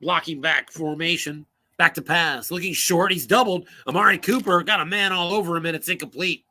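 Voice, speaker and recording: A man calling solo play-by-play for a tabletop football game, brisk and rising on big plays, losing his voice and coughing now and then. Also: a little high, bright, forceful tone.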